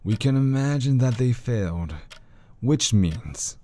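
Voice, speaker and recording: An actor playing a grave, learned older doctor of the occult in an audio horror drama, speaking in a measured, formal way. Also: male voice, medium pitch, expressive, warm tone, slight background noise.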